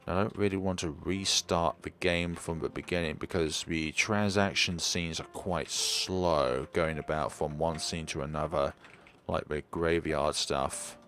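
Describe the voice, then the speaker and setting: Very deep, slow-talking man. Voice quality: naturally deep voice. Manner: relaxed, muttering to himself while playing. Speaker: streamer. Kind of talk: casual live-stream gameplay chat. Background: faint game music.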